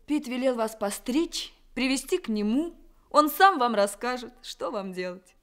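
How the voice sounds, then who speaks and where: A hearty, motherly carnival clown with a high voice and an unhurried way of talking. She comes across as warm and full of laughter in this old studio radio drama. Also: lively intonation. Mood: friendly. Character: warm cheerful woman clown, mother of three. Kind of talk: 1970s Soviet radio play acting.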